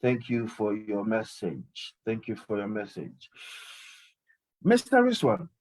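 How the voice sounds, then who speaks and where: A man's voice: on the low side, wildly swooping pitch, slow, a little mellow. A man hosting a live call-in radio show, talking emphatically and often repeating his phrases. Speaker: radio host.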